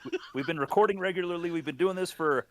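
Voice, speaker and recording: A man's voice: a little high, fast, fairly bright tone. An aging man hosting a casual podcast, friendly and wry, talking shop about his hobby builds. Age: older. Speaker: podcast host.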